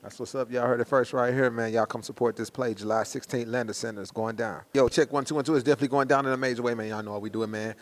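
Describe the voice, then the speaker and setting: A man's voice: mid-range voice, steady tone, rapid-fire, hardly any pauses. An American man hosting promotional interviews in a slangy hype-man style, leaning on catchphrases. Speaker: interviewer and host.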